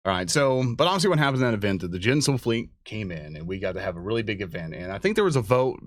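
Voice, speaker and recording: A man's voice: on the low side, very animated, very fast, no room sound, clean studio sound. A man from Louisiana hosting a casual chat in a rambling, informal way. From Louisiana, USA. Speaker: host.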